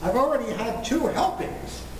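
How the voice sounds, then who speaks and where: A man's voice: very high voice, sing-song, moderate pace. A man acting a stubborn, flustered father in an amateur 1940s-style radio comedy, hemming and stammering emphatically. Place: filmed stage performance in a room. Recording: echoey room, faint background noise.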